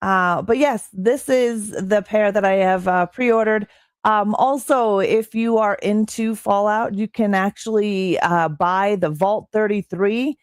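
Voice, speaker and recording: A woman's voice: mid-range voice, takes it slowly, no room sound. Chatty streamer woman, slightly strained voice. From Canada. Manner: excitable, chatty, many fillers. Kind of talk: casual live-stream chat reading chat.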